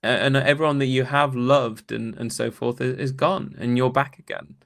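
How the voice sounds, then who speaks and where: A man's voice: mid-range voice, level tone, moderate pace, gravelly, a bit bright. A man speaking as an academic interview guest, telling anecdotes in a thoughtful, rambling, conversational way.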